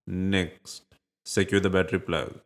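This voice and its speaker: Male tutorial narrator, low voice, measured and plainly instructive delivery of scripted how-to steps. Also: flat delivery, creaky.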